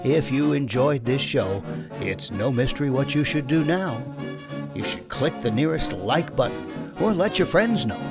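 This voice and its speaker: A man announces scripted closing credits. His pitch is mid-range and moves around in a lively way. Loud music plays underneath the whole voice. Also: measured pace. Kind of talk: scripted narration.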